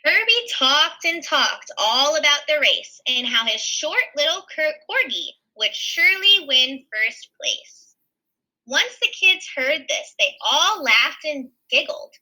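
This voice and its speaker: Bright, animated woman reading a storybook. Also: high-pitched, takes it slowly, low-quality recording. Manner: expressive, explaining pictures simply. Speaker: teacher reading a picture book aloud. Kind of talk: animated children's read-aloud with asides.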